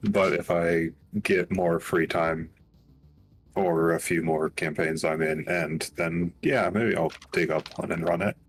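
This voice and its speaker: A man playing in a tabletop game, chatting casually in a low-key, unhurried way. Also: steady tone. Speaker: player. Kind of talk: casual chat.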